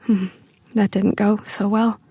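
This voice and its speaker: An actress playing a tender, playful young woman who misses her family, in a confiding, expressive audio drama monologue. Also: medium pitch, slow, husky, dry recording, clean studio sound. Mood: subdued, upset. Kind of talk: intimate first-person audio drama monologue.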